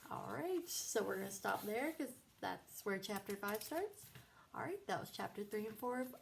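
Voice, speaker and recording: A woman's voice: medium pitch, expressive, moderate pace, gravelly, a little hiss or hum. A woman narrating a children's book aloud as a storybook reader.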